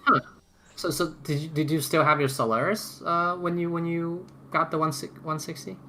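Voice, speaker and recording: A man's voice: a little high, steady tone, normal speed, bright, forceful tone, a little hiss or hum. A man on a podcast panel chatting casually and opinionatedly, often repeating words as he goes. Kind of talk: casual roundtable chat.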